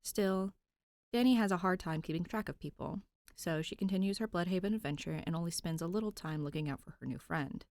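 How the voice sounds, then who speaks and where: A woman's voice: on the low side, a little fast, a little mellow, dry recording, clean studio sound. A woman podfic reader narrating fan fiction expressively, with an informal, conversational touch.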